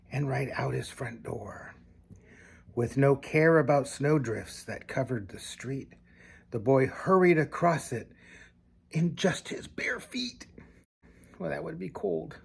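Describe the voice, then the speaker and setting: Older male storybook reader, grandfatherly, slow and expressive, with noticeable gravel in the voice. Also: on the high side. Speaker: grandfather reading a storybook aloud. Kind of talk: expressive children's storybook reading with casual asides.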